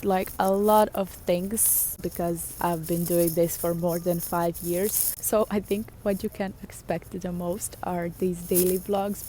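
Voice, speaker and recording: A woman's voice: on the low side, mellow, a little hiss or hum. A young woman from Serbia, a native Serbian speaker, talks casually to the camera in English with a Serbian accent. She records outdoors on a camera mic and chats on with plenty of fillers. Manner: chatty, thinks aloud with fillers. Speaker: vlogger. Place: outdoors in a city.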